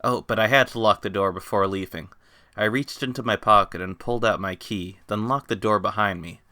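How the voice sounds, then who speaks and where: Low, bright-voiced man narrating a story. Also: fairly quick, dry recording. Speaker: author reading own story. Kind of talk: dramatic fan fiction narration.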